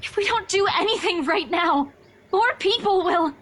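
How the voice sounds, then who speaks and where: A woman's voice: very high-pitched, expressive, normal speed, fairly bright tone. An actress playing an anxious, earnest young woman in a game who pleads and apologizes insistently.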